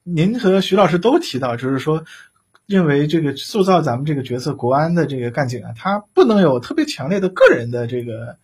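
High-pitched husky male interviewer. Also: big pitch swings, brisk, a little mellow. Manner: wordy, circling toward a question. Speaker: interview host. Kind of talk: conversational interviewing.

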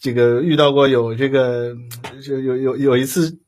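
A man co-hosting a livestream in casual chat, talking in a halting, rambling way with repeated words. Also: medium pitch, steady tone, a little mellow. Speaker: livestream co-host.

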